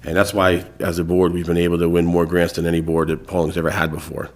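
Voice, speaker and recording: Congested deep-voiced man chairing a meeting. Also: monotone, fast. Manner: casual, filler-heavy, restarts phrases. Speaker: town supervisor chairing a board meeting. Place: town hall meeting room.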